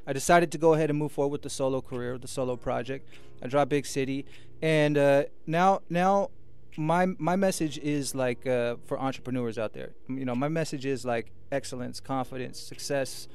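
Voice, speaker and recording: A man with an American accent talks casually in a radio interview, his mid-pitched voice touched with gravelly fry. He rambles earnestly as a guest musician, with faint music behind him. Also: steady tone, normal speed, darkish tone. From Sacramento, California, USA. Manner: earnest, rambling, often adds "you know what I mean". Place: radio station studio.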